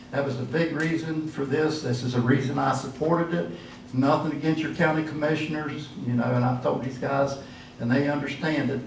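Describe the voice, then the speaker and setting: A man's voice: fairly high pitch, creaky, slight background noise. An older man giving a folksy, rambling campaign speech at a dinner. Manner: folksy, rambling, with frequent fillers. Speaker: political candidate. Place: church hall at a dinner event. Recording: echoey hall from far off.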